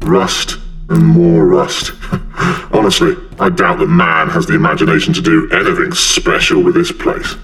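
A cocky, mocking saboteur, with a deep voice. He is flippant and sarcastic, in a science fiction audio drama with some music behind. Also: little pitch movement, normal speed. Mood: mocking, smug.

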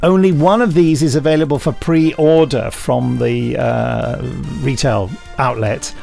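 A British man with a gravelly voice and a dry, wry manner, chatting casually as a podcast co-host. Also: medium pitch, fairly even intonation, a little slow, darkish tone, faint music behind.

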